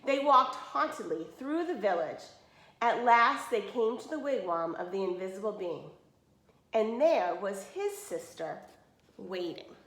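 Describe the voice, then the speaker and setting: A woman reading a picture book aloud expressively, pausing to explain things plainly to listeners. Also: mid-range voice, animated, fairly bright tone. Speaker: read-aloud storyteller. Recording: roomy, echoey indoor sound.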